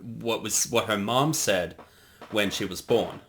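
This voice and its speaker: A man's voice: fairly low pitch, unhurried. A man co-hosting a casual commentary on a parody series he directed, rambling with lots of fillers and restarts. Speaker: co-host of a creator commentary.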